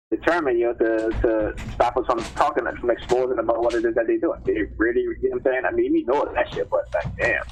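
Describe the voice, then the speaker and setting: A man venting casually, rambling and repeating himself in slangy, profane talk. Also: medium pitch. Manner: rambling, repetitive, slangy and profane, often saying "you know what I'm saying". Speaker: call-in panelist. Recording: dull noisy call audio.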